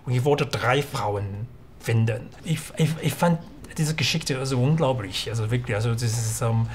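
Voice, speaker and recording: Ein Mann aus Taiwan, Muttersprache Mandarin, mit taiwanischem Akzent, spricht im Interview in einem kleinen Restaurant zögernd, mit vielen Füllwörtern und Neuansätzen. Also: male voice, medium pitch, moderate pace, a little hiss or hum. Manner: hesitant, many fillers and restarts. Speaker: writer. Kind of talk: documentary interview. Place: small restaurant indoors.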